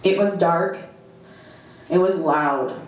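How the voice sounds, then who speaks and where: A woman performing a first-person dramatic monologue at a live reading, in short, deliberate, halting phrases with emphatic repetition. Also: lowish voice. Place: indoor live performance in a club. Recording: very echoey live venue.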